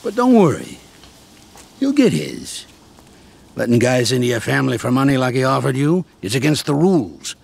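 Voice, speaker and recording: Casting: a seasoned mob mentor, gravelly and animated, streetwise. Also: male voice, medium pitch, moderate pace, full character voice. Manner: streetwise, lively, explains patiently. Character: older male mobster inmate, seasoned and reassuring mentor. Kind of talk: video game cutscene acting.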